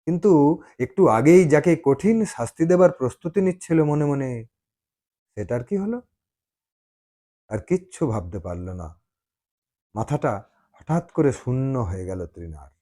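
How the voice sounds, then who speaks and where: A man reading a dramatized audio love story as storyteller, expressive and given to dramatic pauses. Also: mid-range voice.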